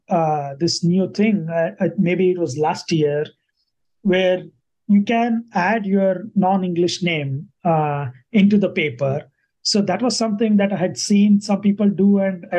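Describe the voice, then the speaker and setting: A man's voice: high-pitched, mellow, low-quality recording. A man from India, a native Kannada speaker, answering interview questions thoughtfully with many fillers and restarts. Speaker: guest scientist.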